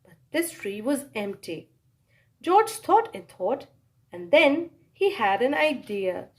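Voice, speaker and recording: A woman reads a children's story aloud with expressive, animated narration and dramatic pauses and exclamations. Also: fairly high pitch.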